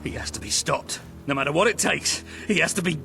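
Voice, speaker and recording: An actor playing a grimly determined rebel in a fantasy video game, intense in delivery. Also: male voice, a little high, expressive, a little fast, gravelly, music in the background. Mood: grim, urgent. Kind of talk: dramatic game dialogue.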